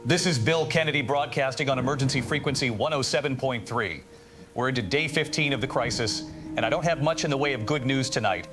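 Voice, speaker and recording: Actor as weary male emergency radio host, medium pitch, somber and steady, clear music bed underneath. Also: a little fast, close to an everyday voice. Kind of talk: scripted radio drama broadcast.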